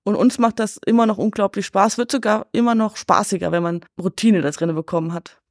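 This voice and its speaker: A woman's voice: mid-range voice, fairly even intonation, a little fast, no room sound. Chatty female podcast guest, dry sound. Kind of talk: casual chat.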